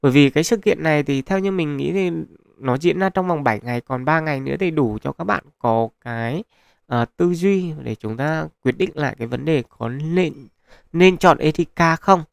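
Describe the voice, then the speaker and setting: Male gaming host, gravelly mid voice, chatty advice with fillers, dry close mic. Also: fairly high pitch, moderate pace, no room sound. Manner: chatty, advising viewers, many fillers. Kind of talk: casual gameplay commentary. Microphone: close mic, voice-over on screen capture.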